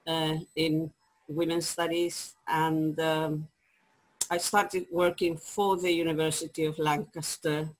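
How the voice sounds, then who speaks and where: A woman's voice: sits low, poor audio. A woman in her fifties with a Chilean Spanish accent, a publisher telling her story in an interview over a video call, hesitant with many ums and restarts. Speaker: guest, publisher. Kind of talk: conversational interview. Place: home study with bookshelves.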